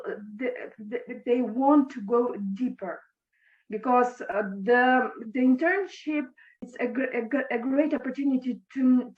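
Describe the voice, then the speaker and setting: A young woman whose first language is Russian, speaking English as an interview guest, halting and restarting her words. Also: fairly high pitch. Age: young adult. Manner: halting, with frequent restarts and stammers. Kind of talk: video call interview. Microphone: video call. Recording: echoey, dull lo-fi video call.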